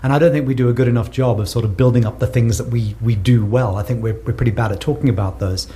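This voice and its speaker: Reflective South African man, mellow voice. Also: medium pitch, fairly even intonation, quick pace. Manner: thoughtful, repeats words while building a point. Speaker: guest researcher. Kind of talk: conversational podcast interview.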